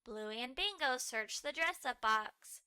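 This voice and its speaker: A woman narrating a children's storybook aloud, expressive and animated, carefully phrased for early readers. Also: sits high, slow pace, clean tone, dry recording, studio quality.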